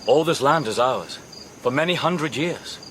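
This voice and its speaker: An actor playing a wild, childlike young Spanish nobleman in a radio drama, dreamy and excitable with a cruel streak. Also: mid-range voice, unhurried, a little hiss or hum.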